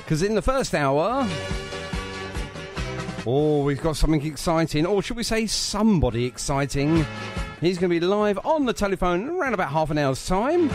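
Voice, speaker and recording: A British man hosting a live radio show with casual, chatty, jokey talk. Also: a little high. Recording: music playing behind the voice.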